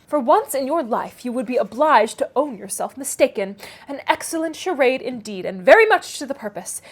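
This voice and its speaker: Woman playing a high-voiced, smug, clever young gentlewoman. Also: expressive. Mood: pleased, amused. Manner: knowing, brisk, condescending, precise. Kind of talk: classic novel read aloud.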